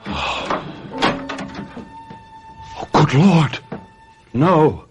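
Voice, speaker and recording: An actor playing a bitter, scheming husband who is a trapped murderer, with an American accent and a brooding, melodramatic old-time radio delivery. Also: male voice, fairly high pitch, lively intonation, extremely slow, dull audio, soft background music. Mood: stunned, yearning. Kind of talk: old-time radio mystery narration and acting.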